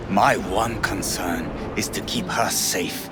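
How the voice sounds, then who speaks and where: Slow, stern, menacing bodyguard. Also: male voice, low-pitched. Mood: protective. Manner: curt, commanding, deliberate. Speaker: game character, bodyguard. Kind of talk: video game voice acting. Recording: loud music behind the dialogue.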